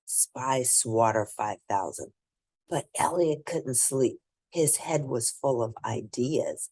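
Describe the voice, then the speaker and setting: A woman reading a children's picture book aloud in an expressive, emphatic way, with asides to young listeners. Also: very deep voice, slow pace, husky. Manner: animated, emphatic storytelling. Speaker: children's book reader and host.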